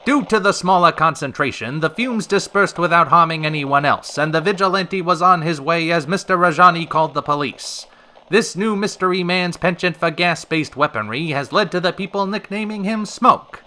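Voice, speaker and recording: Man acting as old-time radio show host, high-pitched, very forceful bright voice, polished, dryly sarcastic. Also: moderate pace. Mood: polished, wry. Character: wry, smooth-talking male radio host in a pulp city.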